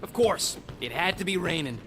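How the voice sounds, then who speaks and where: A small, ill-tempered game mercenary creature, pitched high with jumpy intonation. He grumbles bluntly at his teammates. Loud ambience and engine noise surround him. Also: animated, moderate pace. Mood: grumbling. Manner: complaining, blunt. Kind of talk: video game dialogue.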